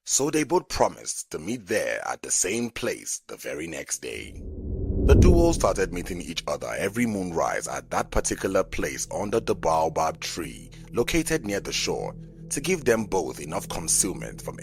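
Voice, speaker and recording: A man's voice: low-pitched, huge pitch swings, normal speed, gravelly, noisy background. A man narrating a scripted folk tale as a storyteller. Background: background music.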